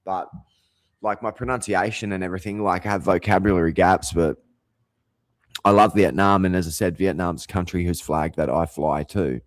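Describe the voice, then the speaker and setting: A man's voice: low-pitched, moderate pace, dry recording. A man delivering a rambling, profane rant as a vlogger. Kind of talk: rambling monologue.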